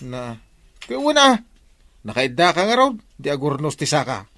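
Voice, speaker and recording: Man acting a brash, high-pitched village husband. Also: sing-song, normal speed, a bit bright, no echo. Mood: smug. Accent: Ilocano. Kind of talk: Ilocano radio comedy drama acting.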